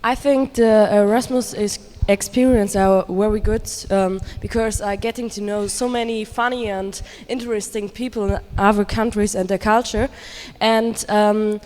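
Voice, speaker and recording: A woman's voice: mid-range voice, fairly even intonation, moderate pace, few pauses, a little mellow. Hesitant German teen girl. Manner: hesitant, with fillers. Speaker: student. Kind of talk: short spontaneous speech. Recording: reverberant hall.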